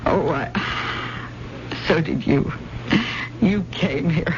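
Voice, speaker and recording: An actress playing a brave, quick-witted woman, earnest and dramatic, in old-time radio drama style. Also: low-pitched. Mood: shaken, relieved. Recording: muffled, very noisy old radio recording.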